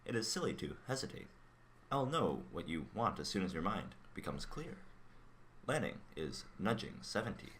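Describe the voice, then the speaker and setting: A man acting as a mind-reading robot in a radio play, calm and blunt, with a measured, formal delivery. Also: low-pitched, slight background noise, heavily acted. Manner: measured, matter-of-fact, formal. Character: mind-reading male robot, calm, blunt, curious about human emotions.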